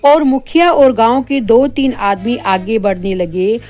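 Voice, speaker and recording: A woman's voice: on the high side, a little slow, a little mellow. A woman narrating a scripted spooky tale for children as a storyteller. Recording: background music under the voice.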